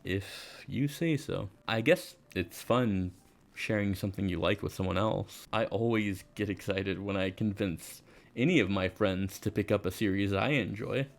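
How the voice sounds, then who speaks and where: A man's voice: lowish voice, moderate pace, vocal fry. A man playing an easygoing, self-deprecating teenage schoolboy, casual and reflective, in first-person visual novel narration and dialogue. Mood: awkward, friendly.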